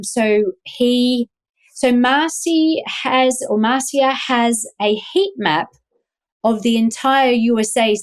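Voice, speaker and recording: A woman's voice: fairly high pitch, darkish tone. A woman with a Melbourne accent, speaking as a guest in a casual interview, rambling with many ums and restarts. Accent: Australian (Melbourne). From Australia. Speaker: guest, activist.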